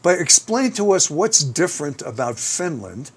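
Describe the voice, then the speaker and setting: A man's voice: a little high, big pitch swings, moderate pace, slightly rough. A man from the United States hosting a conversational interview, talkative and emphatic, steering with leading questions. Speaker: interview host.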